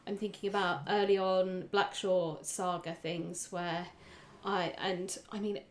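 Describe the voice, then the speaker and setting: A woman's voice: on the low side, level tone, a little slow. A woman talking casually as a player on a role-playing podcast. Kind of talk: casual group chat.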